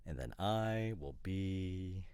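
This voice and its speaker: Man acting as teasing ASMR roleplay boyfriend, gravelly fry, lively swinging intonation, casual, hesitant, mock-serious. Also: low-pitched, slow, full character voice. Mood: playful. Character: opinionated, teasing boyfriend debating couple's costume ideas.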